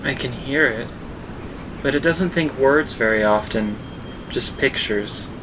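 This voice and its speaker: A man's voice: a little slow, natural voice. A man playing a reflective, wry explorer in an audio drama. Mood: hesitant, casual. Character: reflective young male explorer narrating his journey. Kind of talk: audio drama acting and first-person narration. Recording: noisy, low rumbling hum behind.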